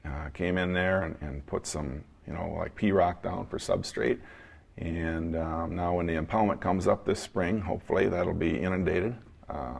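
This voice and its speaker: Deep, gravelly male biologist. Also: a little mellow. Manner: halting, with restarts and fillers. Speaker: fisheries biologist. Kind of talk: interview answers. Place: studio, seated.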